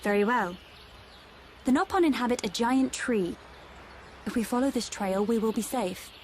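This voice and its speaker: Woman as a posh, high-voiced British noblewoman. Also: moderate pace, a little mellow, a little hiss or hum, close to an everyday voice. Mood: polite, composed. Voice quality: posh diction. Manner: formal, stiff, archaic courtesy. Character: haughty, formal young noblewoman, guarded and proud. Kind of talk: video game cutscene acting.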